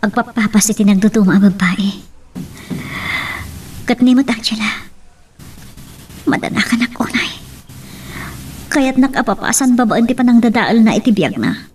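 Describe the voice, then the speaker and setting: A woman playing a troubled, anxious and sorrowful adult daughter, with a breathy voice, in an Ilocano radio drama. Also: a little high, moderate pace, pauses often, a little mellow, recorded from a distance, a little hiss or hum, natural voice.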